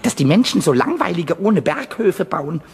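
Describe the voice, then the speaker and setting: Tiny naughty kobold for a kids radio play, squeaky n scratchy, stutters, pitch jumps everywhere, bit of hiss. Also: male voice, normal speed. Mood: scheming, excited. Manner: stammering, repeating words excitedly. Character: small excitable mischievous childlike male kobold. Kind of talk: children's radio play acting.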